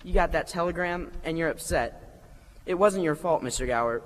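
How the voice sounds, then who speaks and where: A man's voice: fairly high pitch, normal speed, lightly acted. A male actor playing an earnest, loyal young boy in a stage radio play. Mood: frightened, pleading. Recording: hall reverb on a stage.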